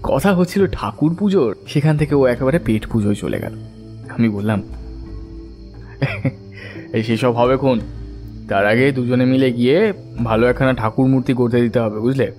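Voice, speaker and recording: A man's voice: mid-range voice, normal speed, long pauses, a little mellow, lightly acted. An actor playing an earnest, devout family man who tells his own story conversationally in an audio drama. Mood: relieved, cheerful. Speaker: narrator and character. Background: faint background music.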